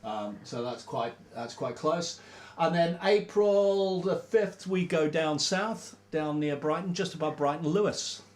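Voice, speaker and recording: A man's voice: a little high, unhurried. Chatty man in an echoey room. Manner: relaxed, chatty, rambling with many fillers. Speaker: band member hosting a livestream. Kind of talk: informal livestream chat.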